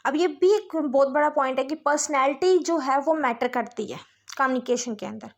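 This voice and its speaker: A woman's voice: high-pitched, moderate pace. A woman with an Indian accent giving an explanatory lecture as a teacher, mixing Hindi and English and often checking that listeners follow.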